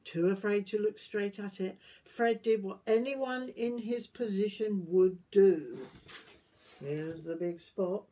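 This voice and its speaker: A woman in her sixties reads a picture book aloud as a granny would to young children, adding gentle questions and asides to them. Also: medium pitch, slow. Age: 60s. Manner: gentle questioning, addressing young listeners directly. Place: small wood-panelled garden room, indoors.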